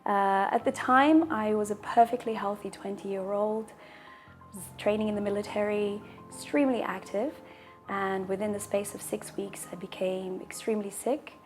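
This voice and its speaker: A woman in her thirties, a native Portuguese speaker, telling her personal story in a calm, measured way, with a husky voice and deep breaths between sentences. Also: faint music behind. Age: 30s. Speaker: interviewee sharing a personal story. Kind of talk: personal testimonial.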